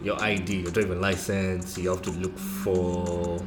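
A man's voice: low voice, little pitch movement, measured pace, music in the background. A young man from Kenya with a Nairobi accent, chatting casually and laughing often. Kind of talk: casual chat. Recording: echoey room with faint noise.

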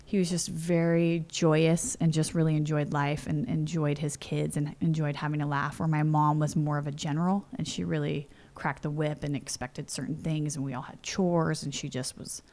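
A woman's voice: low voice, little pitch movement, moderate pace, hardly any pauses, a little mellow. A woman in her forties from California, telling personal stories in a chatty interview full of "you know" and "like". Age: 40s. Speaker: interview guest. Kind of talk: casual storytelling. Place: home.

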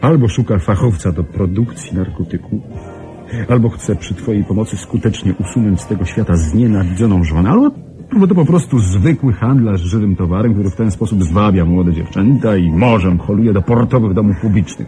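A man's voice: lowish voice, lively intonation, fairly quick, mellow, natural voice. An actor playing a bantering, ironic young man, a protective close friend, in a radio play. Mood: suspicious, ironic. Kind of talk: Polish radio play acting. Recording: radio play with music behind.

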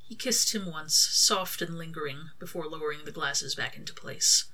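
A woman's voice: low voice, moderate pace, sharp tone. A woman reading her own fan fiction as scripted story narration.

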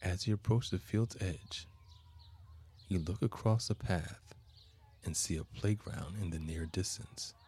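A man narrating a guided meditation as a podcast host, with measured, soothing, deliberate phrasing. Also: sits low, mellow, slightly rough.

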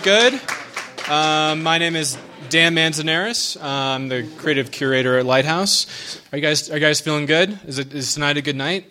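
A man's voice: a little high, sharp tone. A man welcoming a live audience, hyping the crowd with casual talk and swearing. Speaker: event curator warming up the crowd.

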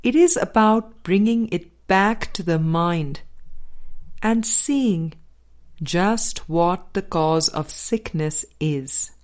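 Slow, low-voiced woman reading aloud. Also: darkish tone. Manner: measured, deliberate phrasing. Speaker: audiobook reader. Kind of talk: scripted narration of a spiritual book.

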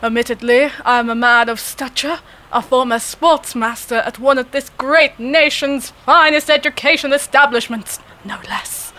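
Pompous, booming old British gentleman. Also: moderate pace. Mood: earnest, excited. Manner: booming bluster, old-fashioned posh exclamations. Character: pompous, jolly, oblivious older English gentleman ex-sportsmaster. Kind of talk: theatrical sketch comedy monologue.

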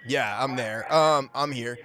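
A man chatting casually and informally, in a halting, hesitant way. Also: mid-range voice, pushed and bright. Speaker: prank caller and podcast guest. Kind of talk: casual, hesitant phone chat.

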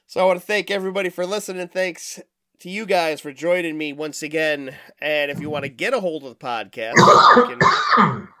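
A man's voice: a little high, fairly quick, bright, forceful tone. A man from the United States hosting a casual podcast chat, jokey and sweary with plenty of exclamations. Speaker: podcast host.

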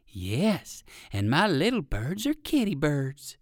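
Storybook mother bird, read by a man: friendly, plain-spoken, animated intonation. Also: fairly high pitch, takes it slowly, no room sound, clean studio sound, heavily acted. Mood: friendly, proud. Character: friendly mother catbird that mews like kittens.

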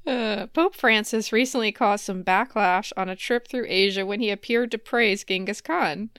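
A woman's voice: medium pitch, normal speed, fairly bright tone, smooth, no room sound, studio quality. A woman co-hosting a comedy podcast, chatting casually and reading out joke news items. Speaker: podcast co-host.

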